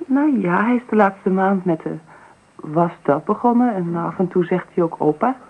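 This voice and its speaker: Earnest young mother for radio drama: breathy, husky voice, polite, easily amazed. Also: medium pitch, expressive, normal speed, narrow, tinny audio. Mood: polite, open.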